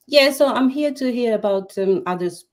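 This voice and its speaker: A woman's voice: a little high, lively intonation, moderate pace, a little mellow. A woman from Portugal with a Portuguese accent, speaking English as a panelist in a discussion. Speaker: marketing lead, panelist.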